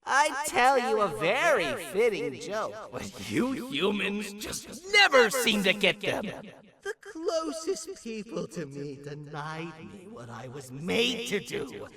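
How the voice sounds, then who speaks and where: Manic game jester monster: very high voice, slow theatrical delivery, craves his queen's laughter. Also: sing-song, a crowd in the background, exaggerated voice. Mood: gloating, then bitter, wounded. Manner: theatrical, swinging from shouts to hushed brooding. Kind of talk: video game character acting.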